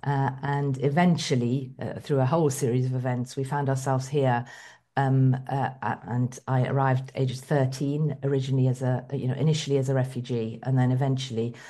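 Low, mellow-voiced woman reflecting calmly. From Iran. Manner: reflective, measured, with frequent hesitations. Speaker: guest, bishop. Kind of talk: conversational interview.

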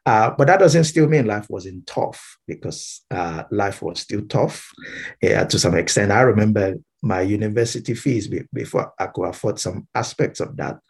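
A man from Nigeria with a Nigerian accent, speaking reflectively as an interview guest in measured, halting, explanatory phrases. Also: fairly low pitch, animated, creaky, husky. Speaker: interview guest, Baptist minister.

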